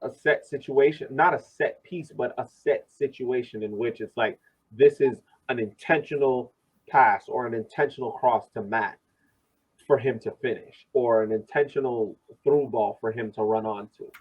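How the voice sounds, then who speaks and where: A man's voice: medium pitch, moderate pace, a little mellow, husky, low-quality recording. A man discussing soccer on a casual podcast, speaking emphatically and often repeating words as he goes. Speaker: podcast host and soccer supporter.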